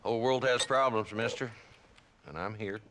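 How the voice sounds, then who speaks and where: An actor playing a weary, sardonic old frontier marshal in a Western video game, with an American Southern accent and a dry, folksy, grumbling manner. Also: male voice, on the low side, fairly even intonation, normal speed, noisy background, lightly acted. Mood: weary sarcasm.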